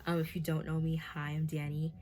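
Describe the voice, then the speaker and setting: Nervous young woman with a low voice. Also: monotone, brisk, warm tone. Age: 20s. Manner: nervous, rambling and confessional, many fillers. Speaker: vlogger. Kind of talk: candid talking-to-camera update.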